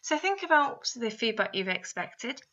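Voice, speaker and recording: A woman with a fairly high, very bright voice narrates a step-by-step guide. She addresses the listener directly as an instructor would, often with guiding questions. The recording is a little dull and lo-fi. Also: sing-song, moderate pace. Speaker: instructor narrating a guidance video. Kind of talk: scripted instructional voice-over. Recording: slightly dull, lo-fi voice-over.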